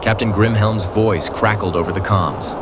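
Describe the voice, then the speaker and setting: A man's voice: fairly low pitch, fairly even intonation, normal speed, very noisy. A man narrating a scripted audio drama as a dramatic storyteller.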